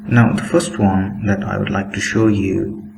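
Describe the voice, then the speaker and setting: A man giving a critical commentary on book excerpts while showing screenshots, pacing himself deliberately and opening most sentences with "now". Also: on the low side, little pitch movement, creaky, background noise. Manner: critical, disapproving tone. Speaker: religious commentator.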